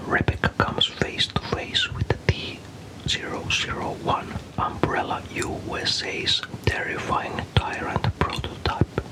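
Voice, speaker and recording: A man whispers a story for ASMR, entirely unvoiced and breathy. He is from Finland and narrates with calm, careful, unhurried phrasing, keeping the delivery soft throughout. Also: poor audio.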